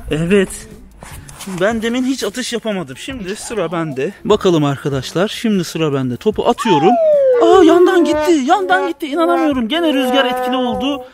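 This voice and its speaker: A young man filming outdoors in a courtyard, narrating a children's bowling game with animated, sportscaster-style commentary. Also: extremely high pitch, normal speed. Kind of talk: playful play-by-play for a children's channel. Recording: music playing loudly under the voice. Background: loud background music.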